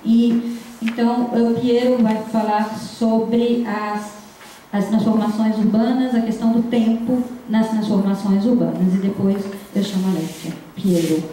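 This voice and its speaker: A middle-aged woman moderates an academic event in an informal way. Her voice is fairly high and soft-toned. It rings heavily around the room, picked up from a distance. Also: little pitch movement, a little slow. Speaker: event moderator introducing speakers. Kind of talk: informal spoken introduction at a conference. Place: large dim seminar room. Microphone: handheld microphone into room speakers, recorded by distant camera. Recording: echoey room, distant mic, background noise.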